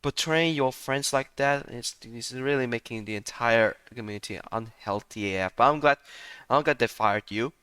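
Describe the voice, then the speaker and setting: A man's voice: medium pitch, moderate pace, pushed and bright, clean tone, no echo. A man giving casual, rambling live commentary as he plays a game. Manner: rambling, often breaking into laughter. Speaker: streamer reacting to a game playthrough. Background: game music behind the voice.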